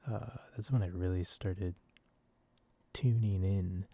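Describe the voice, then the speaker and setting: A man in his early twenties rambling softly and casually in an ASMR style, with a self-deprecating manner. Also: sits low, steady tone, takes it slowly, creaky, breathy edge. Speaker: ASMR video creator. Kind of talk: soft-spoken rambling ASMR.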